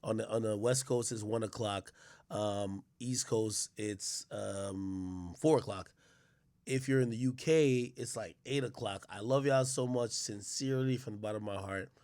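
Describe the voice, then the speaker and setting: A man's voice: on the low side, measured pace, darkish tone, no echo. Profane man chatting to his stream. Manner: loose, profane, talks straight to chat. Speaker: live streamer. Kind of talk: casual live-stream chat.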